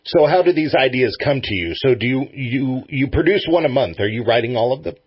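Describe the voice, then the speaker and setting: A man's voice: medium pitch, lively intonation, fairly quick, husky, no echo, muffled recording. A middle-aged man hosting a radio show, chatting casually as he interviews a guest.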